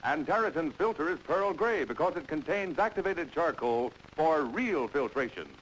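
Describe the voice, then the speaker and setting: Animated, highish ad man. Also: normal speed, low-quality recording. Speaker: commercial announcer. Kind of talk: scripted 1950s cigarette ad read. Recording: vintage 1950s broadcast, faint noise.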